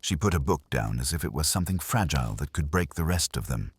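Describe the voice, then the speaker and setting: A man reads detective fiction in a very deep voice with a husky grain. His delivery is measured and wry, yet the pitch moves in lively swings. The recording is close and dry. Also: no room sound. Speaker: audiobook narrator. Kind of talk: scripted first-person detective fiction narration.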